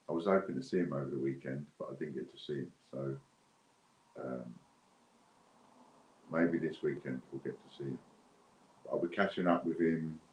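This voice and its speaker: A British man with an extremely deep voice rambles casually as he hosts a livestream. He talks unscripted to his viewers, often thinking aloud. The recording is echoey, with faint noise underneath. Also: lo-fi sound. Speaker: host of an online raffle and giveaway stream. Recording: roomy echo with faint background noise.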